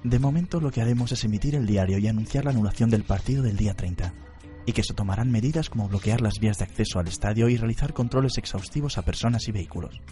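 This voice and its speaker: An actor playing an unflappable, analytical detective in a radio drama, methodical and blunt. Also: male voice, on the low side, quick pace, dark tone, soft background music, lightly acted. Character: analytical, unflappable male detective briefing his team.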